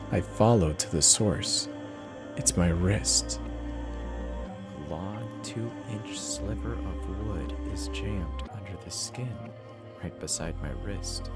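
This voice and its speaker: A man giving a theatrical, expressive dramatic reading of a first-person horror story, with dramatic pauses. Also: lowish voice. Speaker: narrator of horror stories.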